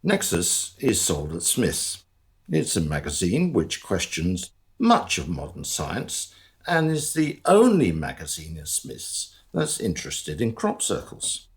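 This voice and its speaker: An actor playing the wry narrator of a radio comedy drama, reading scripted storytelling asides. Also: male voice, sits low, wildly swooping pitch, slow pace, full character voice.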